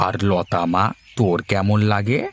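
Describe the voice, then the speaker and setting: A man's voice: deep voice, steady tone, measured pace. A man voicing an easygoing middle-aged village father in a cartoon story, speaking in a conversational, placating way over music. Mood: calm. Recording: music under the voice.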